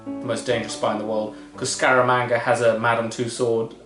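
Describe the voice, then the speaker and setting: Man, podcast co-host, wry casual chat, average pitch, echoey room, faint guitar music behind. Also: flat delivery, brisk. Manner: wry, conversational, quotes film lines. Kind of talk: casual film discussion.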